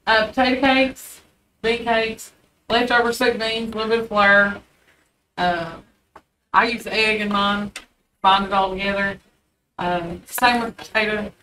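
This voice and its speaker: A woman cook explaining a recipe quietly and matter-of-factly. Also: mid-range voice, fairly even intonation, a little slow, pauses often, recorded from a distance, a little hiss or hum. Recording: roomy, off-mic, faint ambience.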